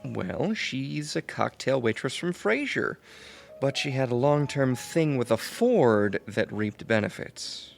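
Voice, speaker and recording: A woman's voice: very deep voice, lively intonation, takes it slowly, vocal fry, faint music behind, exaggerated voice. A woman acting in amateur audio theatre as a breezy, frank character, speaking in a casual, wry way.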